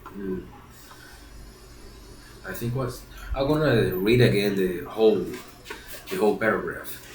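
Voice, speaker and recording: A man's voice: lowish voice, unhurried, darkish tone, reverberant, a little hiss or hum, rough recording. A man with a congested, stuffy nose from a cold, reading a classic novel aloud and often stopping to reread and correct himself. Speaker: reader of a book series.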